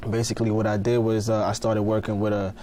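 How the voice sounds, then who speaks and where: Laid-back young man from Ghana, low steady voice. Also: fast, slight background noise. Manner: laid-back, many fillers and "you know what I mean". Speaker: guest, fashion designer. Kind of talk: casual TV interview.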